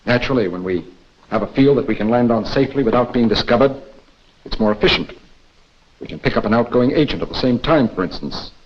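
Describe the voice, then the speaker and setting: An American actor playing a composed intelligence instructor in a 1940s training film, speaking in a measured, instructive way. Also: male voice, mid-range voice, lively intonation, a little hiss or hum, lightly acted. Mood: matter-of-fact. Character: composed male intelligence instructor briefing an agent. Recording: dull, muffled 1940s film soundtrack.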